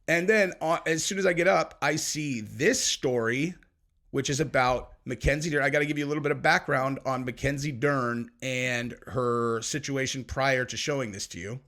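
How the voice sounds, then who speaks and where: A young man co-hosting a casual podcast, his voice sitting at an ordinary middle pitch. He tells his story in a loose, rambling way, swearing freely and leaning on fillers. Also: moderate pace, a bit bright. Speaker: podcast co-host. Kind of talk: casual chat.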